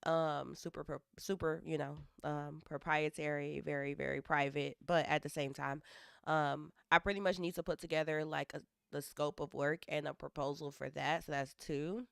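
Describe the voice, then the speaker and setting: Hoarse low-voiced woman streaming. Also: level tone, moderate pace, fairly bright tone, no room sound, studio quality. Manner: rambling, self-interrupting, thinking aloud. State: hoarse from allergies. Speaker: livestreaming developer. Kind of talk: casual live-stream chat.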